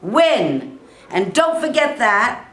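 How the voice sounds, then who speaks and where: A woman playing a fiery middle-aged male high school football coach, giving a commanding pep talk in a staged reading. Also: big pitch swings, takes it slowly, a bit bright, full character voice. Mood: rousing, fierce. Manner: emphatic, commanding, rousing. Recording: echoey live room.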